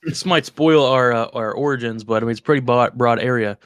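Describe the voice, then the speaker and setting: A man's voice: mid-range voice, brisk, a bit bright, clean tone, dry recording, studio quality. A man co-hosting a podcast, rambling enthusiastically about game-day food with lots of restarts. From West Virginia. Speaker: podcast co-host. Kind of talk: casual chat.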